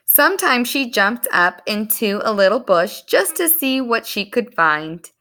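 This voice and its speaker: A woman reading a story aloud to young children in an animated, expressive storytelling manner. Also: fairly high pitch, measured pace. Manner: animated, explains words to young listeners.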